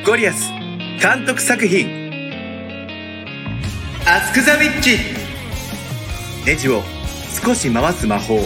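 A woman's voice: on the low side, very animated, takes it slowly, long pauses. A woman giving dramatic, scripted trailer narration for an audio drama. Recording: music behind the voice.

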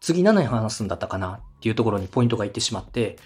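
Male podcast host, polite coach: slightly rough, husky voice, animated pitch, encouraging explanations over faint music. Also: very fast. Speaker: podcast host, radio director giving advice.

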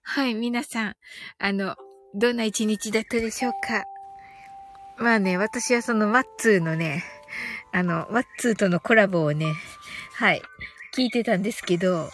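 A woman's voice: mid-range voice, moderate pace, long pauses, fairly bright tone, faint music behind. A woman hosting a casual, chatty livestream English lesson, often giggling as she talks. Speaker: livestream host teaching English phrases. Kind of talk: casual chatty livestream, reading listeners' comments.

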